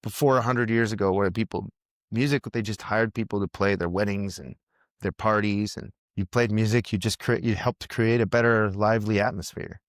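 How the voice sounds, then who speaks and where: Rambling American man, low dry voice. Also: fairly even intonation, normal speed, no echo. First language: English. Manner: rambling, many restarts and self-corrections. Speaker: podcast host. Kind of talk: casual podcast conversation.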